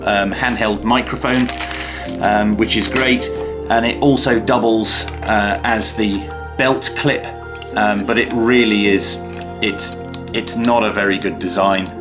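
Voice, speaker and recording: A man presenting an unscripted product demonstration and review in a measured, hesitant way, often pausing mid-phrase. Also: on the low side.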